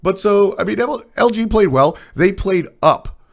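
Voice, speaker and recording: A man's voice: high-pitched, lively intonation, unhurried, gravelly. A man with a stuffy, nasal voice streaming casual, blunt opinions on esports, trailing off into asides.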